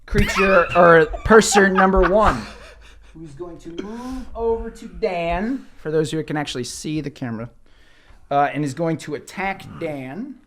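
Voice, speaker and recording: A man's voice: high-pitched, unhurried, fairly bright tone. A man acting as dungeon master, narrating a tabletop game casually, with joking and some swearing.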